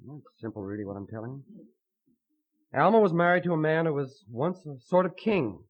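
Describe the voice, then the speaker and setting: An actor playing an earnest, lovesick young man who speaks in halting, poetic, fervent phrases in a melodramatic radio drama. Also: a little high, animated. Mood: brooding, earnest. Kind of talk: melodramatic old-time radio acting. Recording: muffled vintage radio recording.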